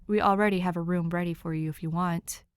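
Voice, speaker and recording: A woman's voice: on the low side, fairly even intonation, fast, clean tone, dry recording, close to an everyday voice. A woman acts out a protective, teasing older brother in a story podcast, casual and reassuring. The sound is dry. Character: welcoming, easygoing big brother, protective and teasing.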